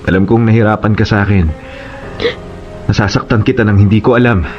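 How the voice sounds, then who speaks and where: A man's voice: on the low side, fairly even intonation, fairly quick, dull audio, soft background music, close to an everyday voice. A man playing a devoted, remorseful father and suitor in a radio drama, earnest and tender. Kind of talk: Tagalog radio drama acting.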